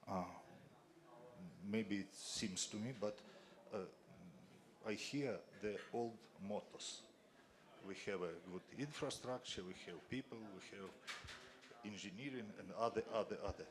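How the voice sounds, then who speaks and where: Man asking haltingly, low voice. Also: crowd noise. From Ukraine. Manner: halting, searching for English words. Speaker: audience questioner, private investor. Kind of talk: question from the floor at a panel.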